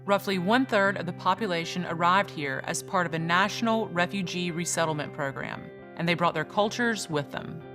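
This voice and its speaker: A woman's voice: lowish voice, a little fast, few pauses, music in the background. A woman hosting a travel food show. She asks curious questions, laughs easily, and narrates in between. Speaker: host. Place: outdoors at farms and markets.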